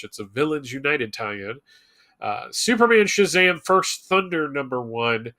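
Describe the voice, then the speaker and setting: A man co-hosting a live fan show, reading a loosely scripted rundown with hesitant pauses and wry asides. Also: mid-range voice, sharp tone. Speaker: show co-host presenting comics history segment.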